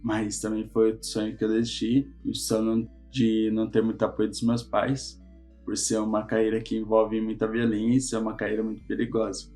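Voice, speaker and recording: Jovem de voz grave e monótona. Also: male voice, lowish voice, completely flat delivery, moderate pace, dark tone, faint music behind. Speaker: engineering student. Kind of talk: personal testimony to camera.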